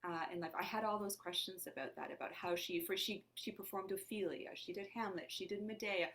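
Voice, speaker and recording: A woman from Lebanon whose first language is Armenian talks as an interview guest. She speaks in a reflective, searching way, often voicing questions to herself, in a mid-pitched voice. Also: fairly quick, a bit bright. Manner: thoughtful, searching, asks herself questions aloud. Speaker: actor and playwright guest. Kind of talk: conversational interview.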